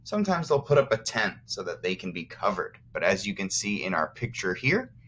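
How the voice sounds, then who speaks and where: A man's voice: deep voice, big pitch swings, a little fast, fairly bright tone, husky. An American man, a teacher of young children, reading aloud and explaining simply as he addresses the children directly. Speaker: first grade teacher.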